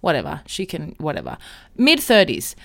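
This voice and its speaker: A woman's voice: deep voice, huge pitch swings, normal speed, gravelly. A woman in her thirties hosting her own podcast, talking candidly and chattily about her life in a solo monologue. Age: 30s. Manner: candid, chatty, self-reflective. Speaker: podcast host.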